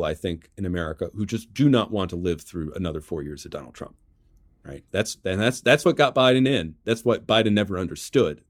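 A man speaking as an interview guest, laying out political analysis conversationally in short, measured phrases, checking in with rhetorical questions. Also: on the low side. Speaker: journalist and author, interview guest.

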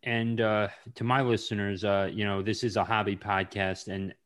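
A man hosting a hobby podcast interview, speaking haltingly with many fillers and restarts. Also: fairly low pitch, little pitch movement, a bit bright. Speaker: podcast host. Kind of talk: casual interview.

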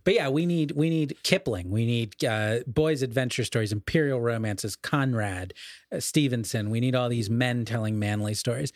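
A man with a mid-pitched voice talks through literary ideas in a conversational podcast style. He is erudite and explanatory, often halting and rephrasing mid-thought. The sound is close and dry, with no room around it. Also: no room sound.

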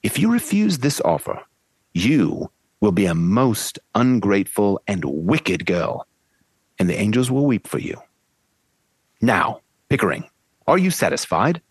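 Man doing an overbearing upper-class professor, pompous and lecturing, with a very animated delivery and a dry sound. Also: fairly low pitch, measured pace, breathy edge, no echo, exaggerated voice. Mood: lordly. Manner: grandiloquent, mock-solemn, lecturing.

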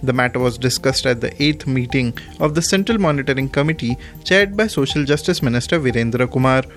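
A man's voice: mid-range voice, expressive, brisk. A man with an Indian accent reading a scripted news bulletin as its presenter. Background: music.

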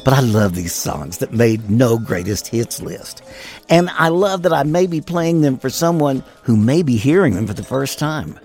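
A man's voice: mid-range voice, big pitch swings, normal speed, a little mellow. A man hosting a music show, delivering scripted music-history narration in a wry, wordy, playful way. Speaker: radio music show host. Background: music under the voice.

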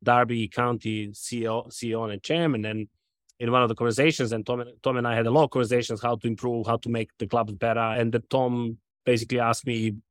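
A middle-aged man from Serbia with a Serbian accent, interviewed on a podcast as a soccer club's sporting director, talking haltingly with many restarts and fillers. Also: mid-range voice, level tone, no echo. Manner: halting, repeats words, frequent fillers.

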